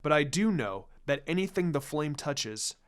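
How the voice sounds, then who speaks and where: A man voicing a serious adult ninja mentor in a fan fiction reading, calm, stern and deliberate as he explains. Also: a little high, clear, resonant tone, natural voice.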